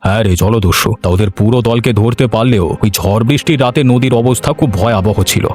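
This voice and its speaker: Brisk, authoritative police officer played by a man. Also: lowish voice, darkish tone, soft background music, lightly acted. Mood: storytelling, proud. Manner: authoritative, firm. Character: stern, self-assured senior police officer uncle. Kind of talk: Bengali cartoon voice acting.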